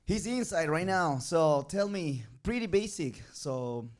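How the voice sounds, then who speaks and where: An Ecuadorian man hosts a relaxed podcast. He talks with excitable energy and laughs often. His voice jumps in loudness from word to word. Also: fairly high pitch, a little slow. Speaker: podcast host. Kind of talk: casual podcast chat.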